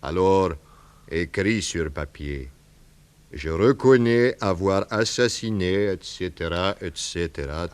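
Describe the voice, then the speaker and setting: An actor playing a desperate, lovesick Russian émigré and confessed murderer, with a Russian accent, in theatrical 1960s French radio drama style. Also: male voice, deep voice, slow pace, clear, resonant tone. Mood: anguished confession.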